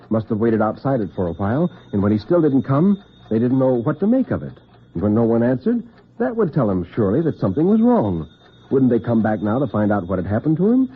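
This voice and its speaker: A man narrating an old-time radio suspense story with deliberate, literary intensity and a husky voice. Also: on the low side. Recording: tinny, band-limited vintage radio recording.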